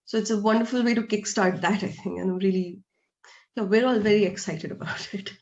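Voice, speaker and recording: A woman's voice: mid-range voice, steady tone, brisk, darkish tone, lo-fi sound. Hesitant woman host on a video call. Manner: searching for words, with many restarts. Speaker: host, interviewer. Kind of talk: warm, gently hesitant interviewing.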